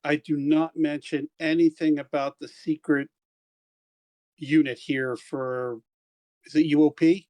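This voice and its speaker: A man's voice: fairly high pitch, flat delivery, unhurried, a little mellow. A man playing in a tabletop game, talking casually and hesitantly, often restating his point. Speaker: player.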